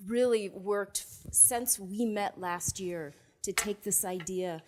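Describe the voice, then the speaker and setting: A woman's voice: medium pitch, slow pace, noisy background. Polite woman moderating a dialogue. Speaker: program host.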